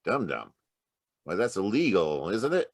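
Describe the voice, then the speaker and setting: A man playing a puzzled, slightly slow-witted homicide lieutenant in an old-time radio detective drama. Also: low voice, very animated, no room sound, studio quality, exaggerated voice.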